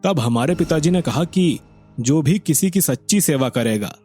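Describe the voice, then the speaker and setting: A man's voice: a little high, animated, moderate pace, dark tone, husky, soft background music. A man voicing an arrogant, impatient young villager, a selfish elder son, brusque and complaining in a moral story. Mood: puzzled. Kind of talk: acted moral story dialogue.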